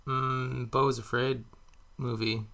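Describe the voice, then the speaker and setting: A man co-hosting a podcast in casual chat, teasing with quick quips and frequent laughs. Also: medium pitch, flat delivery, bright, forceful tone. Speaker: podcast co-host.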